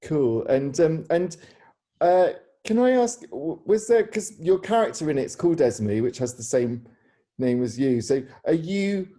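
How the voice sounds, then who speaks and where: Softly dark-voiced man, tentative interviewer. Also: on the high side, lively intonation, unhurried. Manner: hesitant, tentative, rambling phrasing. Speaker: interviewer and theatre director. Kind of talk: informal question-and-answer hosting.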